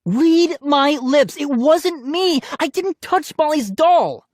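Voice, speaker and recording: A woman voicing an indignant young boy in a children's scary audiobook, loudly protesting and accusing. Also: high-pitched, animated, takes it slowly, no room sound, studio quality, pitched above the performer's own voice. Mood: desperate protest. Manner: loud, protesting, screaming.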